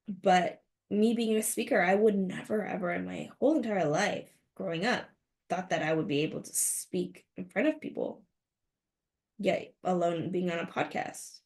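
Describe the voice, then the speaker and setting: Earnest woman podcaster with a gravelly edge. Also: on the low side, darkish tone. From United States. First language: Mandarin. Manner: earnest, inspirational, rhetorical questions. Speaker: podcast host. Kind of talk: motivational podcast monologue.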